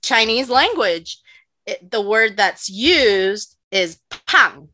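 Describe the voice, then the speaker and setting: A woman's voice: mid-range voice, very animated, slow pace, bright, forceful tone. A woman co-hosting a podcast, chatting casually about nutrition with lots of "like" and "you know" and frequent laughter. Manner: laughs often. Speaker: podcast co-host.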